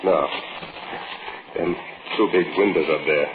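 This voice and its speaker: An actor playing a spry, willing little burglar sidekick, terse and colloquial, in an old-time radio drama. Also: male voice, low voice, slow. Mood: hushed alertness. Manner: terse, plain-spoken, colloquial. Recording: noisy, tinny old radio broadcast recording.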